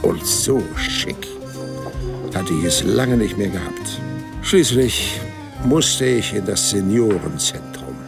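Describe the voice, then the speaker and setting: An actor playing a wry, stubborn hundred-year-old man who narrates his life in a dry, deadpan way in an audio drama. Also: on the low side, slow, creaky, over music, exaggerated voice. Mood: weary, exasperated. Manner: dry, deadpan, matter-of-fact storytelling.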